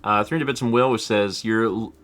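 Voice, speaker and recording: A man's voice: fairly low pitch, steady tone, a little fast, a bit bright, soft background music. A man in his thirties co-hosting a live stream, chatting casually and rambling with lots of laughter while reading viewer comments. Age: 30s. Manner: rambling, self-deprecating, laughs often. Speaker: livestream co-host.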